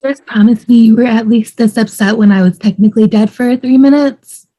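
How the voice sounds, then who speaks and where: A woman's voice: a little high, fairly quick, dark tone, natural voice, poor audio. A woman in an amateur table read playing an exasperated, teasing young wife. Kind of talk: amateur table read acting over video call.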